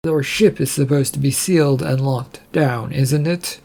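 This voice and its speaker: Man playing a weary, husky first mate. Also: medium pitch, unhurried, dark tone. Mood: wary. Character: weary, stubborn male second-in-command of a ship.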